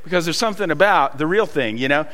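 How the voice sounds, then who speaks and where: A man with a Southern US accent preaching a sermon, telling folksy stories with many pauses and fillers. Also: fairly high pitch, animated, bright, forceful tone, big-room sound. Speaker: pastor. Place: church hall.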